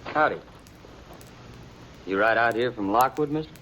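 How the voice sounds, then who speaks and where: A man's voice: normal speed, fairly bright tone, slight background noise, close to an everyday voice. An actor playing a jittery young gunslinger who stammers as his voice climbs in panic, in a 1950s radio drama. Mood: wary. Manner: stammering, voice jumps high with fear. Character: jittery young gunslinger man, boastful, cracking under pressure. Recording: muffled old radio recording.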